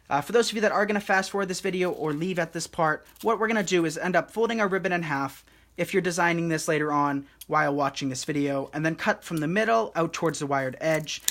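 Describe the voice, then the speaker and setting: A man hosting a live craft tutorial, talking viewers through each step in a soothing, relaxed and unhurried way. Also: fairly high pitch.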